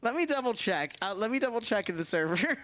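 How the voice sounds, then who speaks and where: A woman's voice: on the high side, very animated, brisk, no room sound. Easygoing, giggly woman co-streamer. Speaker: co-streamer, guest voice actor. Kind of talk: casual livestream chat.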